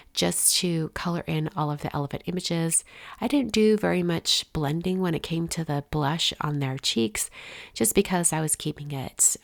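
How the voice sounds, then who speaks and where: A woman's voice: fairly low pitch, gravelly, no room sound, clean studio sound. A woman hosting a card-making channel, narrating a casual step-by-step voice-over while congested from a head cold. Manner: chatty, relaxed, explains step by step.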